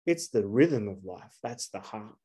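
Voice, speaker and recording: A man's voice: fairly low pitch, expressive, measured pace, dark tone, breathy edge. A man, giving an earnest solo spiritual talk to camera, asking rhetorical questions with emphasis. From Australia. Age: 40s. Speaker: astrologer.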